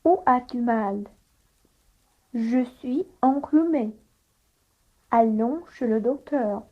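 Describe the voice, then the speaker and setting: A woman's voice: a little high, slow pace. A woman carefully reading a learner dialogue, taking both the patient and the doctor parts. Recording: tinny phone-like sound.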